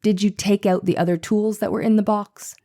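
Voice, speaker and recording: A woman's voice: mid-range voice, fairly even intonation, fairly quick, warm tone, close to an everyday voice. A woman playing a persistent, skeptical male defense attorney in a dramatized courtroom reading, with probing, pointed questions.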